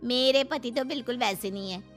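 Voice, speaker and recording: A woman's voice: on the high side, fairly quick, a bit bright, soft background music, pitched above the performer's own voice, natural voice. A woman voicing a smug, spiteful young sister-in-law in a cartoon, with a needling manner. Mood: smug, taunting.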